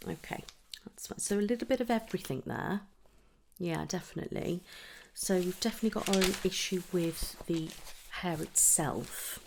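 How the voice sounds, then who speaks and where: Woman, ASMR clinic roleplay, soft near-whisper, heavy vocal fry, gentle questioning, close mic with faint hiss. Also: fairly low pitch. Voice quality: soft, breathy, often dropping into whisper. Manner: gentle, unhurried questioning with pauses for answers. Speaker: ASMR performer playing a trichologist. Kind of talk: ASMR roleplay, soft-spoken medical consultation.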